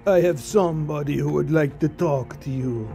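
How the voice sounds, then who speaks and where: A man's voice: fairly high pitch, moderate pace, gravelly, warm tone, faint music behind. An actor playing a big, unfriendly henchman who speaks tersely in broken English in an audio drama. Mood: menacing. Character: large, unfriendly henchman, curt and menacing.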